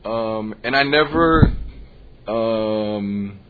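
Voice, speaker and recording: A man from the United States, a Spanish teacher, speaking earnestly and measuredly with frequent pauses in a panel discussion. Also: fairly low pitch. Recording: dull lo-fi recording, faint noise.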